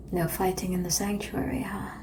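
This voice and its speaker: A woman playing a seductive, world-weary vampire in an ASMR roleplay, calm and teasing, with a breathy whisper and languid, formal phrasing. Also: on the low side, noisy background.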